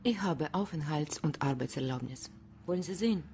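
A woman's voice: sits low, animated, moderate pace, darkish tone, slight background noise. An actress playing a guarded, defiant Romanian immigrant woman in a crime radio drama, with clipped, formal phrasing. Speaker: actor in a crime radio play. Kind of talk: German radio drama acting.

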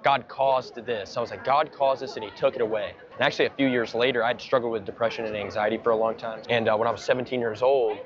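Fast-talking young American man, street preacher. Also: medium pitch, steady tone. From Georgia, United States. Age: early twenties. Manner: earnest, informal. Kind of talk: casual street evangelism and spontaneous prayer. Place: outdoors on a crowded town street. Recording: muffled outdoor clip with busy crowd. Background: crowd chatter.

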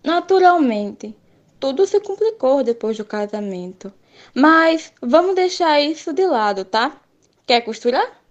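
High-pitched young society lady played by a woman. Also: expressive, a little slow. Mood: light, genteel. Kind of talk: radio soap opera acting. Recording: dull lo-fi sound, music behind.

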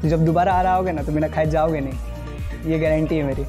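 A young man with a Bihari Hindi accent doing a casual, enthusiastic food review in a restaurant, talking fast with lots of fillers. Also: on the high side, steady tone. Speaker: food vlogger. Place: restaurant indoors. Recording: loud background music.

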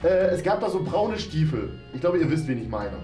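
A young man hosts a casual game video in a rather high voice. His intonation swings widely as he thinks out loud. The room echoes around him and music plays underneath. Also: very animated, moderate pace. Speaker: game show host and player. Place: small decorated room indoors. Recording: roomy indoor echo, music underneath. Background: background music.

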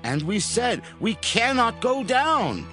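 High, bright pleading Hebrew brother. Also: male voice, big pitch swings, slow, soft background music, full character voice. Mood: humble pleading. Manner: humble, imploring. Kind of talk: dramatized scripture acting.

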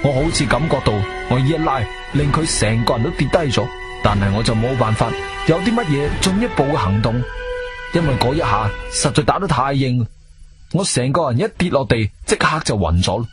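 A man with a Cantonese accent acting a shrewd, firm investigator hero who narrates his own adventure in an expressive audio drama style. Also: medium pitch, fairly quick, natural voice. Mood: gripping, suspenseful. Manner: expressive, emphatic storytelling. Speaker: narrator and lead actor. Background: background music.

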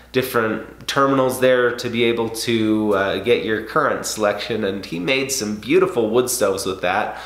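A man's voice: medium pitch, fairly bright tone. A man in his thirties explaining welding in a casual, conversational way, with frequent fillers, seated in a home garage workshop. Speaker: welding instructor. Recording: roomy garage echo.

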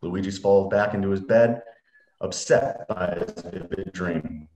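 A man's voice: low voice, a little slow, a little mellow, highs cut off. A man reading screenplay stage directions as narrator in a scripted reading. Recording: roomy echoing computer mic.

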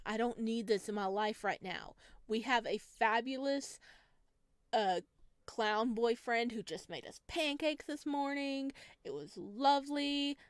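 A woman's voice: fairly high pitch, clear, resonant tone, dry recording. A woman streamer reading a visual novel aloud expressively and dramatically, adding her own commentary, close to the microphone.

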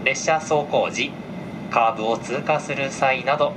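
A male train conductor speaks at a middle pitch in formal, courteous announcement style. His measured words come over the car's PA, with clear ventilation and vehicle noise around them. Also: steady tone. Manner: very polite, measured, formal phrasing. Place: inside a train car. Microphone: camera picking up cabin loudspeaker.

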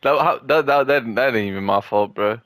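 A man's voice: mid-range voice, fairly quick, a bit bright. A young man in casual gaming chat with a friend, joking and trash-talking and often repeating his words. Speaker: gamer.

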